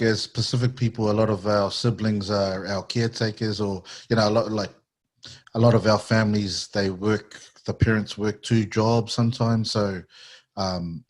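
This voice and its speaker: A man hosting a podcast, asking questions in a friendly, relaxed way with casual slang. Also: lowish voice. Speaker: podcast host.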